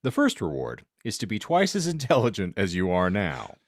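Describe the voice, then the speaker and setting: Male podcast co-host, lowish and very animated in pitch, dry teasing banter. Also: normal speed, no room sound. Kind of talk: casual banter and reading aloud a hypothetical.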